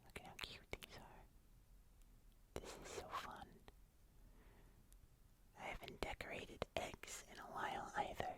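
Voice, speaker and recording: An ASMR host speaking softly and unhurriedly, musing aloud in whispered narration while doing a craft. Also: slow, pauses often.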